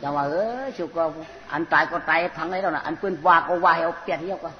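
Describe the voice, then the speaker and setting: A storyteller telling a comic folk tale in an animated way, often repeating short phrases. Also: medium pitch, racing. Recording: muffled, noisy recording in a reverberant space.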